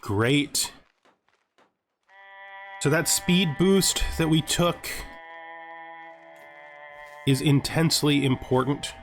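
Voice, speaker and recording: Man, medium pitch, gameplay host, narration and commentary, light background music. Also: a bit bright. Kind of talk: gaming commentary with scripted lore narration.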